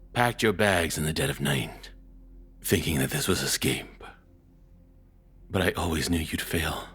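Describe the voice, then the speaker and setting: A man playing a controlling, cruel ex-boyfriend in an intimate audio roleplay, with a husky, rough-edged voice and a taunting, domineering, deliberate delivery. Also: a bass voice, a bit bright, full character voice. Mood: cold contempt.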